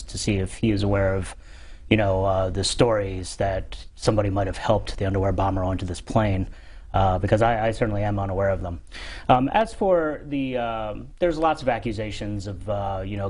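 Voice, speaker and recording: A man speaking as a guest expert on a call-in show, answering in a measured, hedging and halting way. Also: fairly low pitch, a little mellow. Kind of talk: interview answers to callers.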